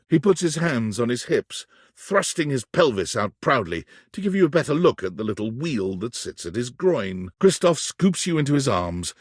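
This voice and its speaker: A man's voice: medium pitch, darkish tone, slightly rough, no room sound, studio quality. A man reading scripted second-person narration for a game as a dramatic, wry storyteller.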